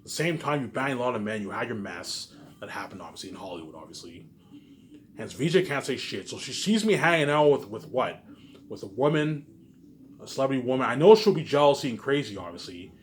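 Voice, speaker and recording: A man in an unscripted, repetitive and halting monologue to viewers, sounding aggrieved and letting phrases trail off quietly. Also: mid-range voice, very animated, a little hiss or hum. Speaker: online vlogger.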